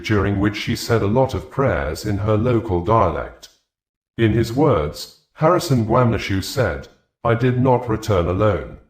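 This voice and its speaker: A man's voice: fairly low pitch, a little mellow. A man reading scripted news reports and statements aloud in a formal manner. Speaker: news reader. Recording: echoey, roomy voice recording.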